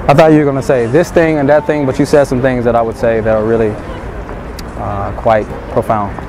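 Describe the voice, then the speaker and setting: Young man talking over loud street traffic. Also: medium pitch, steady tone, a little fast, creaky. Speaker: dating coach. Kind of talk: street pickup talk and to-camera advice. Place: busy city square outdoors. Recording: street noise and traffic behind.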